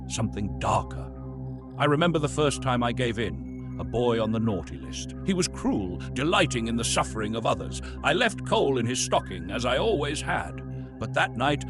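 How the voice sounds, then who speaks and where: A man playing a weary, tormented, sinister old Santa, narrating a horror confession in a brooding, deliberate, theatrical way. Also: mid-range voice, natural voice. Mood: haunted. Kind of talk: dramatic horror monologue narration. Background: music.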